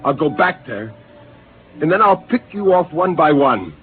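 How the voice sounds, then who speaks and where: A bitter, brooding rebel astronaut. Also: male voice, fairly high pitch, big pitch swings, normal speed, husky, faint music behind, full character voice. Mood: defiant. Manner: brooding, defiant, intense inner monologue. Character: bitter, disillusioned male astronaut turned rebel. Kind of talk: 1950s radio drama acting. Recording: hissy, lo-fi 1950s radio broadcast.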